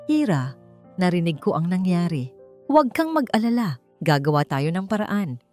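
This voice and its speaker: A woman narrator acts a loyal female best friend in a romance story, insistent and coaxing. She sounds persuasive over quiet background music. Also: on the low side, sing-song, normal speed. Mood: reassuring.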